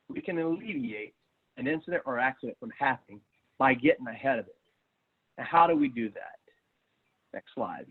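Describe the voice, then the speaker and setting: Male host for an online briefing, fairly high husky voice, thin phone-like sound. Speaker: webinar host introducing a guest. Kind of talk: structured safety briefing. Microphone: online video call. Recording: thin, phone-like webinar connection.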